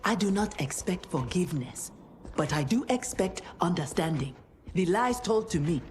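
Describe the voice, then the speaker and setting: A woman's voice: on the low side, lively intonation, normal speed, darkish tone, slight background noise, natural voice. An actress playing an earnest, formal, contrite warrior woman in a video game. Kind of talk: dramatic video game cutscene acting.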